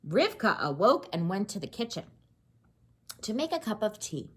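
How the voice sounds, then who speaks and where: A woman with a husky voice reading a children's story aloud expressively, pausing to explain and ask questions. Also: medium pitch. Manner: expressive, explains and asks questions to young listeners. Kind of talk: animated read-aloud of a children's story with asides.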